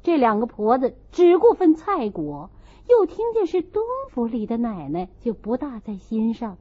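High-pitched, husky woman narrator. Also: very animated, normal speed, highs cut off. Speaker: audiobook narrator. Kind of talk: expressive scripted narration of a classical novel.

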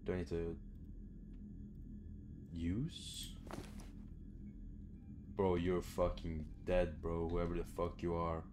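A man's voice: sits low, flat delivery, takes it slowly, pauses often, over music. A young man giving casual, sweary let's play commentary, muttering to himself as he goes. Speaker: gaming video host. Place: small room indoors at home.

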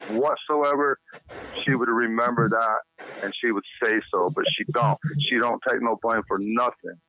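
Male caller, bright forceful voice, bitter ranting delivery, dull recorded phone sound. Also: mid-range voice, fairly even intonation, normal speed. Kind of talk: private phone conversation. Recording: muffled recorded phone call.

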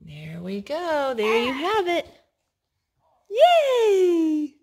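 A woman's voice: high voice, big pitch swings, drawn-out words, darkish tone. A woman doing storytime at home, talking simply and instructively to young children as she reads and asks them questions. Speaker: parent reading to and singing with young children. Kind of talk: storytime reading and sing-song talk to small children. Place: living room at home, indoors.

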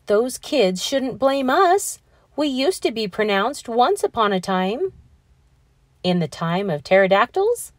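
Storybook talking letter, plucky and aggrieved, emphatic, animated pitch, unhurried played by a woman. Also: dry recording, clean studio sound. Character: band of plucky, aggrieved living silent letters.

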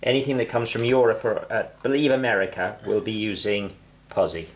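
A man's voice: lowish voice, steady tone, fairly quick, slight background noise. A man helping in a hobby repair video, speaking in casual, conversational chat. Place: indoors at a workbench.

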